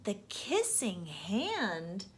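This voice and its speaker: A woman's voice: medium pitch, wildly swooping pitch, extremely slow, slight background noise, exaggerated voice. A woman voicing a young boy raccoon with childlike, earnest questioning in a children's storybook. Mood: curious. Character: young boy raccoon, pleading, curious and loving. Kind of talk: children's storybook read-aloud.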